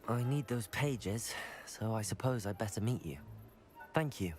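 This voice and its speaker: An actor playing a polite, determined teenage student wizard in a video game, with an earnest manner. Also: male voice, fairly low pitch, animated, a little slow, darkish tone, faint music behind. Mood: polite, resolute. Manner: courteous, earnest. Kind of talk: video game character acting.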